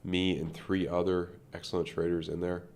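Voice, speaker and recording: Casual male streamer, gravelly fry, congested nasal tone, loose unscripted chart talk. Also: sits low, level tone, normal speed, a little mellow. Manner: rambling, thinking aloud with many fillers. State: congested from a sinus infection. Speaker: crypto trading streamer.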